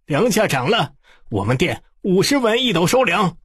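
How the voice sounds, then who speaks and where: A man voicing an excitable, self-pitying middle-aged male grain shop clerk in an audiobook, wailing and exclaiming. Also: high-pitched, normal speed, reverberant, in a large hall, voice pushed up. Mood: loud announcing.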